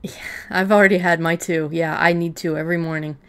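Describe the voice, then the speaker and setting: A woman with a low voice chats informally as a co-host, easygoing and offhand. Her tone has a gravelly, fry-edged texture. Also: fairly even intonation, fast talker, a bit bright. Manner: chatty and informal. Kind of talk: casual chat about writing fiction.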